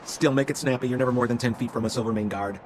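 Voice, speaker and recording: A man's voice: medium pitch, rapid-fire, noisy background, natural voice. A man voicing a slick, theatrical male con-man relic dealer in a video game, with glib, wheedling salesman patter. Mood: ingratiating, scheming.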